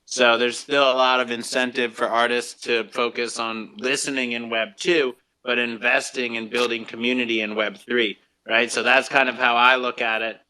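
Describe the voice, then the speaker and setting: A man's voice: medium pitch, level tone, normal speed, few pauses, pushed and bright, rough recording. A man hosting a casual live audio discussion, speaking informally with some slang. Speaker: host.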